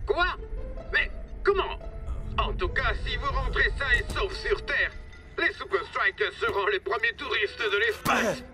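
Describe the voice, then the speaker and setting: A man's voice: very high-pitched, measured pace, pushed and bright, soft background music, exaggerated voice. A man voicing an official spaceflight controller in a cartoon, formal and procedural. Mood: astonished. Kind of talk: cartoon dubbing.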